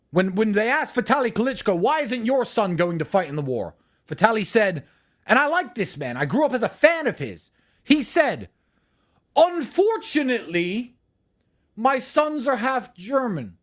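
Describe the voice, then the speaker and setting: Male stream host, furious profane rant, wide-ranging fairly high voice, loud then low. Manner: angry, profane, emphatic; swings between shouting and muttering. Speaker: livestream host. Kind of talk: ranting monologue to a live audience.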